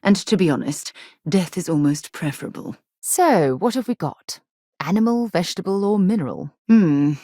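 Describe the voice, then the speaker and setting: A low-pitched woman as a principled space explorer in a sci-fi game. She is composed and articulate, earnest with a wry edge. The recording is dry and close. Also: animated, normal speed, warm tone, no echo. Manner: earnest, thoughtful, dryly wry. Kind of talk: video game voice acting.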